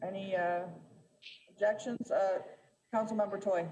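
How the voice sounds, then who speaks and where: A woman presiding over a city council meeting, speaking in a measured way with frequent fillers and restarts. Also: medium pitch, steady tone, mellow, poor audio.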